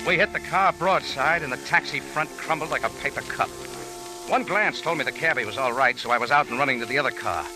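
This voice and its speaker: An actor playing a tough American adventurer and narrator in a wry, terse 1940s radio style. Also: male voice, mid-range voice, brisk, music in the background, natural voice, rough recording. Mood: narrating. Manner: wry, terse, hard-boiled delivery. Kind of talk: 1940s hard-boiled radio acting.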